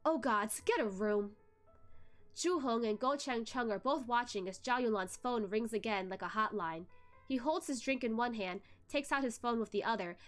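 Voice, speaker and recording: A young adult woman hosting a read-along, narrating a novel. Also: fairly high pitch. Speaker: book club host reading aloud.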